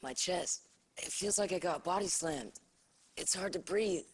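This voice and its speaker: An actress playing a battered woman struggling to breathe, pained and husky, in a video game cutscene. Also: fairly low pitch, measured pace. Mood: pained, weak. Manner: pained, laboured. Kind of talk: full-motion-video game acting.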